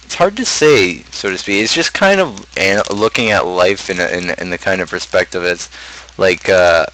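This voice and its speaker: A man's voice: sits low, moderate pace, fairly bright tone, slight background noise, poor audio. A man streamer talking casually about his own song lyrics, rambling and thinking aloud as he goes. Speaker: streamer host and amateur rapper.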